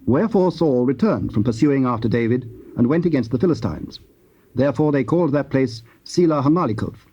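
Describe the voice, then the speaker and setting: A man narrating dramatized scripture in a formal, solemn and measured storytelling style. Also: medium pitch, expressive, dark tone. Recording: muffled, hissy old cassette tape.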